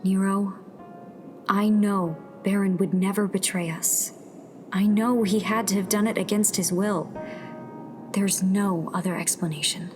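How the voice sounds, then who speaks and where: A woman's voice: mid-range voice, fairly even intonation, unhurried, a little mellow, lightly acted. A woman as Tessa, a firm, pragmatic rebel. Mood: somber, reasoning. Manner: firm, urgent, matter-of-fact. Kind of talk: sci-fi radio drama acting. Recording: music behind the voice. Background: music.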